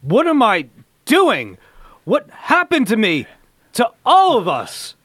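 Man as high-pitched, earnest superhero scientist leader. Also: huge pitch swings, slow pace, fairly bright tone, dry recording, exaggerated voice. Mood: anguished. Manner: speechifying, declamatory. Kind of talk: hobby comic-book radio play acting.

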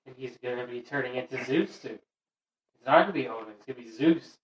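A man in casual chat, cutting in with quick jokes. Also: medium pitch, a loud crowd, low-quality recording. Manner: jokey, quick interjections.

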